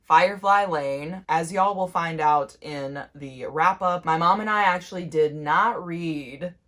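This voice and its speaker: Female vlogger, slightly low voice, informal and chatty, giggly co-host talking books. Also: a little slow, a bit bright. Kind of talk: casual chatty reading-list vlog.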